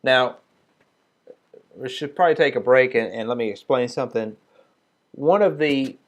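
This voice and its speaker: A man teaching a Sabbath group, reading passages aloud slowly and then explaining them in a loose, casual way with fillers and restarts. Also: mid-range voice. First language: not Hebrew. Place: small group meeting room.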